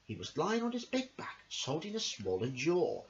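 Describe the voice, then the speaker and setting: A man reading a children's story as an amateur host, in an expressive, sing-song way. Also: fairly high pitch, normal speed, a little hiss or hum. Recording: dull lo-fi home recording.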